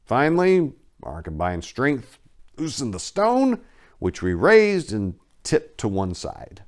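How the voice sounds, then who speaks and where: A man giving a deliberate, theatrical dramatic reading of a horror story as narrator, swelling on some words and dropping hushed on others. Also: medium pitch, wildly swooping pitch. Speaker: narrator, amateur story reader.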